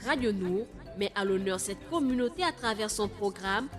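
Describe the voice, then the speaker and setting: A woman reading a scripted radio station promo in a measured voice, with key words echoed back. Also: mid-range voice, animated. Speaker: station jingle announcer. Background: music.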